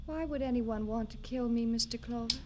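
A woman's voice: on the high side, a little fast, close to an everyday voice. An actress playing an anxious, trusting young woman in a 1950s radio drama. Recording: vintage radio broadcast, noisy background.

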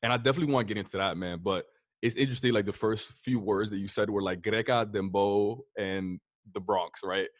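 A man's voice: fairly low pitch, a little fast, no echo, clean studio sound. A man hosting a podcast in a casual, informal, conversational way. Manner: informal, addresses guest as bro and man. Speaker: podcast host.

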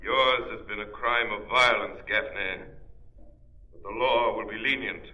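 An actor playing a stern, composed male crime fighter, measured and authoritative, in 1930s radio drama style. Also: fairly low pitch, fairly even intonation, bright, forceful tone. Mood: solemn. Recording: muffled old radio recording.

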